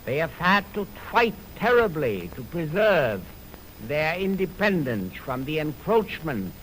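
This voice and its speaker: A man speaking as a 1920s radio announcer, introducing music in formal, deliberate, measured phrases on an old noisy broadcast recording. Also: on the high side, very animated, a bit bright. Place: radio studio. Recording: noisy 1929 radio aircheck on optical film.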